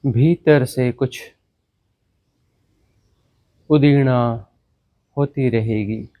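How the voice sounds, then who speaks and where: A man teaching guided meditation, giving instructions in short commanding phrases with pauses and mixing in English words. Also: mid-range voice, warm tone. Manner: mixes English words into Hindi.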